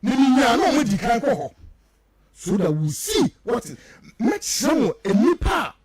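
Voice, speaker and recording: A man's voice: extremely high pitch, wildly swooping pitch, normal speed, over a noisy crowd. A Ghanaian man, a native Twi speaker, giving emphatic, accusatory political radio commentary in a declamatory way. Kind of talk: political talk radio commentary, mixing local language and English.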